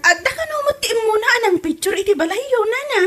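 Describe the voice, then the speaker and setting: A woman plays a village neighbour in an Ilocano comedy drama, eager to share and explain. Her voice is high and her manner reassuring, with an Ilocano accent. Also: expressive, fast talker, a bit bright, faint music behind.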